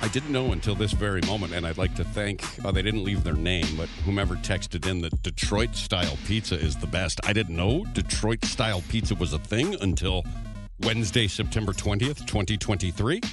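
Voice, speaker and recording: A man's voice: low-pitched, fairly quick, over music. A middle-aged man hosting a morning radio show, joking with his co-hosts and callers. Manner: animated, joking, talks to callers. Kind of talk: morning radio banter and call-in.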